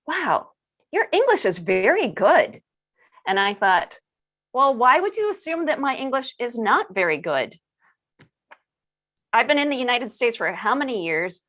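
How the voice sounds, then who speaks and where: A woman moderating a panel discussion, speaking in a measured, reflective way. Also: mid-range voice, animated, fairly bright tone.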